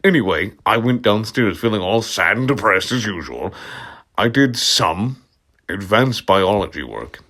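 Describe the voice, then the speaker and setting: A man's voice: lowish voice, lively intonation, measured pace, a bit bright. A man giving a comedic, dramatic read-aloud of fan fiction with amused asides. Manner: amused, breaks into laughter and mocking asides. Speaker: amateur reader of fan fiction.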